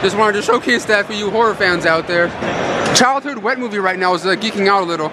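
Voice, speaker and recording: A man talking excitedly to his own camera as he walks through a busy retro gaming convention, chatty and full of fillers. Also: sits high, fast talker, very noisy. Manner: excited, chatty, lots of "like" and "you know". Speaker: vlogger. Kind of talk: casual convention vlog. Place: large convention hall, indoors.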